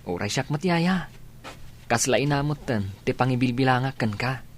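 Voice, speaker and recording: A man with a Filipino accent playing a gentle, respectful young student in a radio drama. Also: medium pitch, expressive, normal speed, a little hiss or hum, lightly acted. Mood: grateful.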